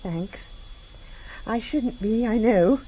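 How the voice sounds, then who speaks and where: An actress in a vintage radio drama playing a sharp-tongued, wary politician's wife, guarded and direct. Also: female voice, a little high, takes it slowly. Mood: guarded, worried. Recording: slightly noisy vintage radio recording.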